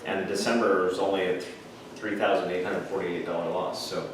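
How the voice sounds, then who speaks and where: A man's voice: low-pitched, level tone, moderate pace. A man giving an explanatory financial report at a board meeting in a plain-spoken, informal way. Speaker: finance officer presenting a report. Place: indoor public board meeting room. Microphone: distant room microphone. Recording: echoey meeting room, distant mic, faint noise.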